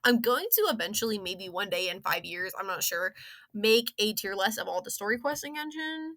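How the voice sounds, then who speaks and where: A young woman rambling casually through an opinionated game story review, slangy and sarcastic, with animated, exaggerated emphasis. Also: medium pitch, moderate pace.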